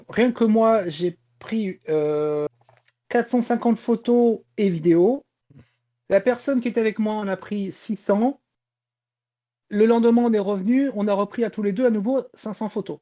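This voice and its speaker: A woman's voice: mid-range voice, unhurried, warm tone, lo-fi sound. A woman explaining things as a panelist in an informal discussion, wordy and often searching for her words. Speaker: podcast panelist and reporter on Japanese pop culture.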